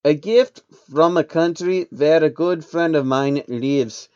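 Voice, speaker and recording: A man's voice: fairly high pitch, takes it slowly, dark tone, smooth, muffled recording, voice pushed up. A man voicing a menacing older male banker villain in a fan storybook reading, speaking with venom and threats. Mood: sinister.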